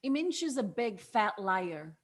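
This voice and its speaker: A woman's voice: medium pitch, big pitch swings, unhurried, slight background noise. An actress playing a brash, rebellious twenty-one-year-old woman in a table read, flippant and defiant. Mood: bitter.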